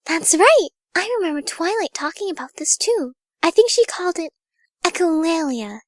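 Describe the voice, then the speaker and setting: A woman voicing a gentle, kindly young female pegasus pony from a cartoon, soft, polite and soothing. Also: very high voice, sing-song, moderate pace, no echo, studio quality.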